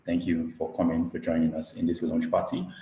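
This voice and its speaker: Deep voiced guy welcoming everyone on a call, echoey room, bit of hiss. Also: level tone, a little fast, mellow. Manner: polite, welcoming. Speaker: education director. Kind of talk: formal greeting. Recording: echoey room with faint noise.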